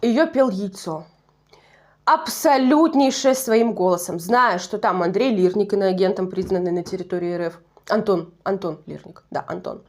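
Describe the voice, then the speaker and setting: A woman's voice: medium pitch, normal speed. A woman with a Russian Far East accent chatting through a nostalgic TV show review, digressive and correcting herself as she goes. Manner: chatty, digressive, self-correcting, laughs at own slips. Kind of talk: casual solo review commentary.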